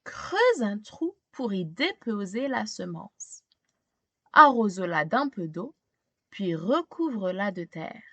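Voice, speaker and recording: A woman voicing a gentle woman gardener in a storybook, tenderly confiding and explaining things to a child. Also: medium pitch, sing-song, takes it slowly, no room sound, heavily acted. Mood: gentle teaching.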